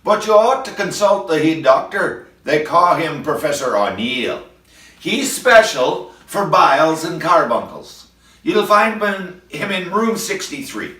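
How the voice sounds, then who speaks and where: A man's voice: fairly high pitch, big pitch swings, a little slow, sharp tone, reverberant, distant mic, pitched above the performer's own voice, heavily acted. A man voicing a cheerful young house doctor with a Scottish accent in a recited comic ballad. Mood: jovial.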